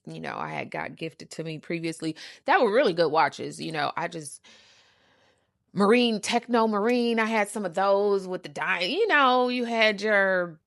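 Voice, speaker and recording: A woman's voice: mid-range voice, expressive, measured pace, gravelly, fairly bright tone, no room sound, clean studio sound. A middle-aged woman telling a personal story casually as a host, sassy and emphatic, repeating words for emphasis and using salty slang. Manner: uses slang and swearing.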